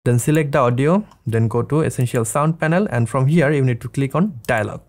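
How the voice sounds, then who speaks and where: A man in his thirties hosting a casual software tutorial, explaining things step by step. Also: mid-range voice, fairly quick. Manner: step-by-step instructing. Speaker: tutorial host. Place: small indoor studio room.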